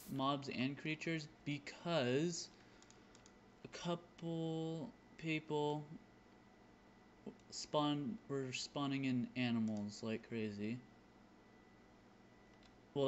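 Young male gaming host, unhurried, hesitant think-aloud delivery, muffled recording. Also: mid-range voice, a little hiss or hum. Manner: hesitant, muttering counts to self. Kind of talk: casual let's-build commentary, thinking aloud. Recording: dull lo-fi mic, loud piano music. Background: loud piano background music.

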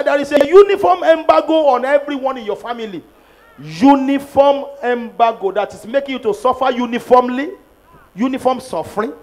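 A middle-aged man with a Nigerian accent leading prophetic prayers, shouting declarations into a handheld microphone in a large church. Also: extremely high pitch. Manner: fervent, shouting declarations, repeating lines for the crowd. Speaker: pastor. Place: large church auditorium, on a stage at a pulpit.

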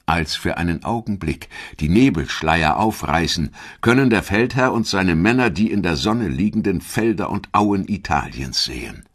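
A man narrating a scripted history documentary in a measured, dramatic storytelling manner. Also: low voice, lively intonation.

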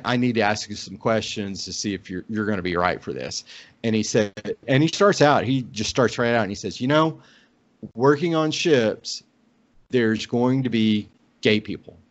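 A middle-aged American man from Oklahoma telling a casual personal story as a podcast guest on a video call. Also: mid-range voice. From Oklahoma, United States. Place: indoor room on a video call. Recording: dull lo-fi video call audio.

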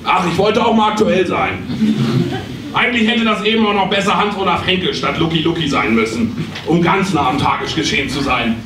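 An actor playing a breezy, digressive oddball therapist in a live comedy play. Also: male voice, sits high, brisk, close to an everyday voice. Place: small theatre stage. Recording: echoey stage, distant, noisy.

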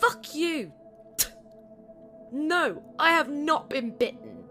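A young woman playing a frightened but defiant daughter in an audio drama, distraught and forceful. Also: high-pitched, animated, slow, a little hiss or hum, exaggerated voice.

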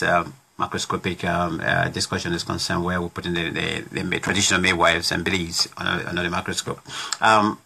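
A middle-aged man hosts a relaxed talk show from a small home studio, his voice very low and husky with a sharp, forward edge. He speaks in halting phrases full of restarts and fillers while putting questions to his guest through a desk mic. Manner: halting, many restarts, plays devil's advocate. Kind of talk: casual interview chat. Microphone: studio condenser mic on desk.

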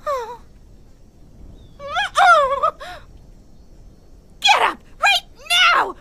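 Squeaky, frantic little critter. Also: female voice, very animated, very slow, heavily acted. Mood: panicked, urging. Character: small frantic excitable cartoon animal. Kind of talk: animated cartoon dub acting. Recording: loud music under the voice.

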